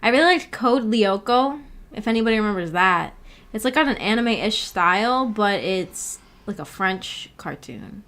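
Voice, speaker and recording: Young woman streamer, mid pitch, rambly casual chat, answering comments. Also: lively intonation, normal speed, fairly bright tone. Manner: rambling, giggly, informal, reads comments aloud. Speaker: VTuber livestreamer.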